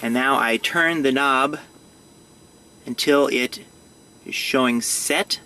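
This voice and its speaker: A man giving a casual, step-by-step hands-on demonstration, speaking hesitantly and haltingly. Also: medium pitch, pushed and bright. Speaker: hobbyist showing a radio install. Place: in or at a vehicle.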